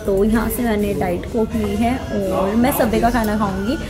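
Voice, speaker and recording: A woman's voice: mid-range voice, steady tone, normal speed, over loud music. A young woman in her twenties vlogging casually on a trip, talking straight to her viewers. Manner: chatty, addresses viewers directly. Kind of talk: casual travel vlog chat. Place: streets, car and restaurant, mixed indoors and outdoors. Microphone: handheld phone camera at arm's length. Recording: loud background noise from streets and traffic.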